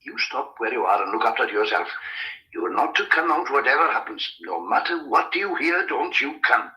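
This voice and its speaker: An actor in a radio drama playing a loyal, plain-spoken rustic farmhand who talks in folksy country phrasing. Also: male voice, medium pitch, animated, normal speed, sharp tone, low-quality recording. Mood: brisk, cheerful. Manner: folksy rural dialect phrasing.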